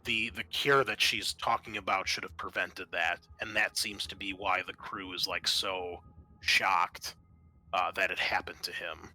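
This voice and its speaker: A man working as game master, improvising tabletop narration in a halting, thinking-aloud way. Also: deep voice, steady tone, sharp tone, slight background noise, rough recording.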